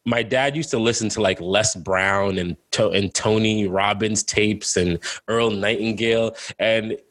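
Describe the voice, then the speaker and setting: A young adult man from Jamaica, raised in New York City, telling his story in a relaxed, casual way with many fillers. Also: on the low side, unhurried, dry recording. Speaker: guest entrepreneur.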